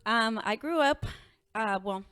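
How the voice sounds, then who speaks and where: A woman from Puerto Rico chats as a podcast guest. Her voice sits a little high. She tells her stories in a lively, expressive way. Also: a little slow, smooth. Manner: animated, expressive storyteller. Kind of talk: casual conversational storytelling.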